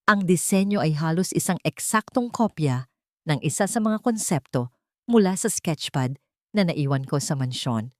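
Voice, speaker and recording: Female audiobook narrator, Filipino accent, slightly low register, measured and solemn, very dry recording. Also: darkish tone, dry recording. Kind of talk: dramatic first-person scripted story narration.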